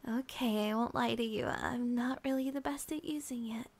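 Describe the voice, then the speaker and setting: A woman playing a sleepy, affectionate young schoolgirl girlfriend in an ASMR roleplay, speaking softly and breathily, often near a whisper. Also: on the high side, steady tone, moderate pace. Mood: drowsy, chatty. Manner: soft, breathy, often whispering, with sighs and giggles.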